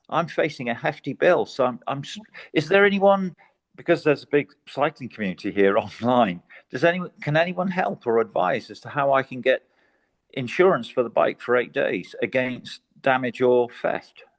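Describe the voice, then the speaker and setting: A man's voice: medium pitch, expressive, moderate pace. A man from the UK with a neutral British accent, asking a question on a group video call in a hesitant, polite way. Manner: hesitant, repeats words, polite. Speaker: tour participant asking a question.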